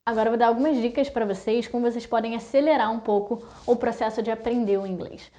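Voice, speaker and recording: A young woman from Brazil talking casually to her viewers, listing things in quick chatty runs. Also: on the high side, fairly even intonation, warm tone. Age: young adult. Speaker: vlogger. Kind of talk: casual talk to camera.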